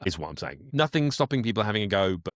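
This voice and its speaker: An older British man with a fairly low voice talks casually as an interview guest. He explains things practically, restarting and rephrasing as he goes. The recording is close and dry, with very little room sound. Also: sing-song, fast, no room sound.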